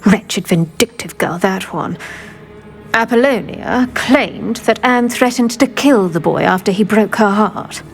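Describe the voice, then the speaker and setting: A woman playing a stern, protective retired magical law enforcer in a video game, blunt, brisk and assured. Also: mid-range voice, vocal fry, soft background music. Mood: blunt, disdainful. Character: stern, protective older woman, retired dark-wizard hunter. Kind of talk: video game character acting.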